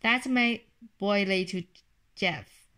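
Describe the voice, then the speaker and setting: A woman voicing a gentle grown man hiding with his family in a storybook, calm and reassuring. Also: medium pitch, takes it slowly.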